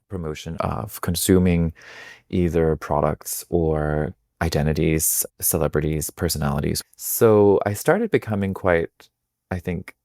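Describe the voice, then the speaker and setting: Deep-voiced man, thoughtful guest. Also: creaky, darkish tone, no echo, studio quality. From Denmark. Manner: thoughtful, reflective, with small restarts. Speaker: guest, magazine founder. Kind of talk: conversational interview answers.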